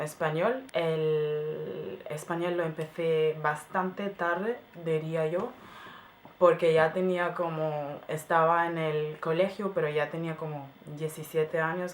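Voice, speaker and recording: A woman's voice: low-pitched, a little hiss or hum. A young woman from Germany casually talking about her languages, thinking aloud with many fillers. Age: young adult. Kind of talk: casual multilingual self-introduction. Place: her home in France.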